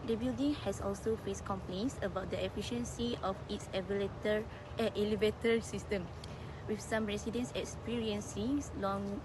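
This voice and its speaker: A woman narrates a documentary script at a medium pitch. Her delivery is halting, with repeated words, and outdoor vehicle noise is clear in the recording. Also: fairly even intonation. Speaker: student documentary presenter. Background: urban outdoor ambience, vehicles.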